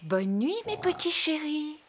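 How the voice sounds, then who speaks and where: A calm, caring mother pig. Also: sits high, unhurried, noisy background. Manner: calm, reassuring. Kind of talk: cartoon dubbing.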